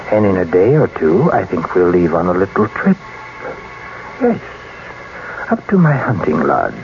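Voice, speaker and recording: An actor playing a scheming, possessive husband who has killed his wife's lover, smooth and menacing, in 1940s radio acting. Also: low voice, full character voice. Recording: muffled old radio recording with loud hiss.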